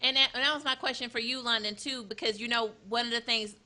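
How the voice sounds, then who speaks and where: A woman hosts a talk panel, cutting in with short, probing questions. Her voice is very bright and sits a bit high. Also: steady tone, fast talker. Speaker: host. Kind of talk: casual panel discussion.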